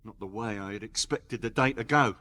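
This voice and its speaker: A man's voice: on the low side, brisk, sharp tone. A man playing a bitter, sarcastic captive tied to a chair in a radio play, rambling and needling with rhetorical questions, with noise behind him. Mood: subdued, wry.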